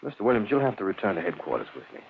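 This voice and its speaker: Through a thin, slightly noisy vintage broadcast comes a police lieutenant. His low voice is measured, matter-of-fact and quietly insistent, in radio drama style. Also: big pitch swings, vocal fry, narrow, tinny audio. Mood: probing. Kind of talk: 1940s-50s radio drama acting.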